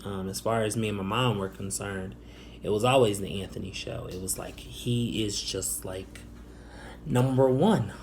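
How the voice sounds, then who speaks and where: A young man from the Chicago area telling a personal story to camera, soft-spoken and hesitant, with many ums and restarts. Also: fairly low pitch, normal speed, slight background noise. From Northwest Indiana, Chicago area. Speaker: vlogger.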